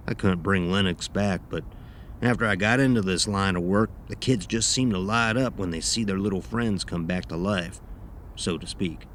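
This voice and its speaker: An actor playing a gruff, blunt, grieving taxidermist in an audio horror drama, talking in a curt, folksy way. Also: male voice, low-pitched, normal speed, a bit bright, a little hiss or hum. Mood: subdued, wistful.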